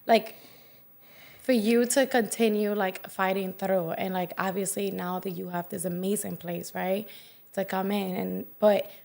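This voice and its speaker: A young woman from the Dominican Republic, a wellness coach talking as a podcast guest, quick and chatty with lots of "like.". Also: medium pitch, steady tone, vocal fry, darkish tone. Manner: quick, chatty, many "like" fillers. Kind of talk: casual interview chat.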